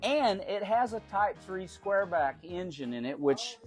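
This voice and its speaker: A man's voice: sits high, lively intonation, faint music behind. Folksy man touring his garage. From Oklahoma. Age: middle-aged. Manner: folksy, unhurried, repeats words while thinking. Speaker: car collector giving a garage tour. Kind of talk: casual show-and-tell. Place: large garage.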